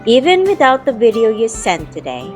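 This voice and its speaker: A woman's voice: a little high, huge pitch swings, normal speed. A young woman playing a spirited, teasing childhood friend in an audio drama, telling the story in her own words and acting out playful banter. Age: young adult. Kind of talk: audio drama narration and dialogue. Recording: music playing behind the voice.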